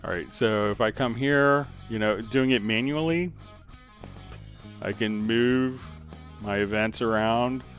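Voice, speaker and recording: A man hosting a live software tutorial in an informal, conversational way, thinking aloud as he demonstrates. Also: medium pitch, slow pace, faint music behind. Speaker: livestream host and software instructor.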